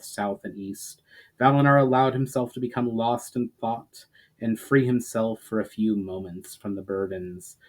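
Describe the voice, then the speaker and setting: A man reading fantasy fiction aloud as a dramatic narrator, in a measured delivery with the odd stumble. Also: mid-range voice, darkish tone, rough recording. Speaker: author reading own story.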